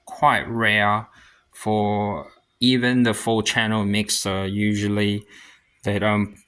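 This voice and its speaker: A man with a fairly low voice talks at a very slow pace through a casual hands-on gear demonstration. He thinks aloud as he goes, in short, halting phrases, like a hobbyist DJ showing how his equipment works. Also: bright, forceful tone.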